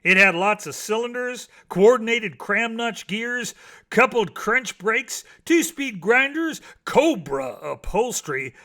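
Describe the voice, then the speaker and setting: A man's voice: high-pitched, expressive, slow, sharp tone. A man reading children's stories aloud as scripted narration, in a theatrical, emphatic way that savours the words. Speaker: storybook reader.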